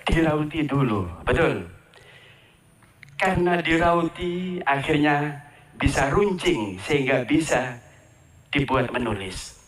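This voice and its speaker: A man's voice: a little high, fairly bright tone. A man gives a ceremony address to students, asking the crowd questions and repeating his phrases. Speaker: ceremony speaker at an Islamic boarding school. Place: outdoor school ceremony. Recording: echoey, from far off the mic.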